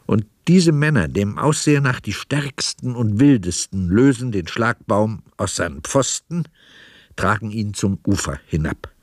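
A man narrating a radio drama from a script in a measured, descriptive way. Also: on the low side, animated, no echo, clean studio sound.

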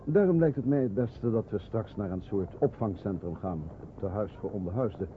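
An actor in a radio drama playing a courteous, helpful community representative with a polite, hesitant, businesslike manner. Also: male voice, on the low side, animated, brisk, close to an everyday voice. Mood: practical. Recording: tinny radio recording with clear noise.

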